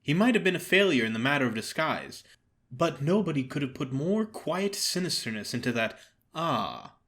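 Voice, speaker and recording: A man narrating a dramatized story as a solo performer, in a measured and wry manner. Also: on the high side, big pitch swings, a bit bright.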